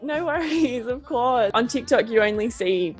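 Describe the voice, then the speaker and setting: A woman's voice: fairly high pitch, animated, measured pace, faint music behind. A woman interviewing a guest in a casual chat on a video call. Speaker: host, interviewer.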